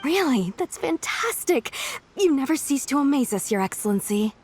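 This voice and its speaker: A woman's voice: sits high, sing-song, normal speed, darkish tone, a little hiss or hum. A woman playing an efficient, deferential young shrine aide in a game, polite and businesslike. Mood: relieved, dutiful.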